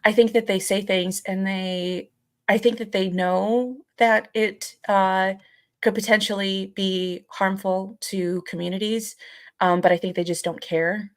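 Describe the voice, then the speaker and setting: A woman co-hosting a casual podcast, talking hesitantly with halting phrases and frequent pauses. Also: medium pitch, steady tone, no echo, studio quality. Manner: hesitant, halting, many pauses and "you know". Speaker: podcast co-host.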